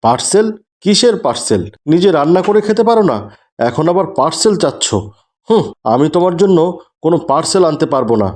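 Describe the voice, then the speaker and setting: A somewhat high male voice , an actor's, for a devout family man in a cartoon melodrama. He is stern and aggrieved. His delivery swings between exasperated scolding and pleading. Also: animated, fairly quick, darkish tone, close to an everyday voice.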